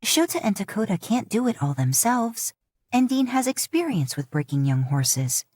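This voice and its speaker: Young tribeswoman voiced by a woman, firm, determined, measured, dry close sound. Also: a little high, sing-song, darkish tone, no echo, voice pushed up. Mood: imploring.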